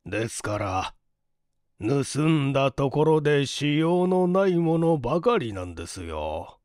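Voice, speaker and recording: A man reading a mystery story as a narrator, in a measured, polite and formal delivery. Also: mid-range voice, sing-song, darkish tone, dry recording, clean studio sound. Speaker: audiobook narrator, stage actor. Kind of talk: dramatized literary reading, mystery novel.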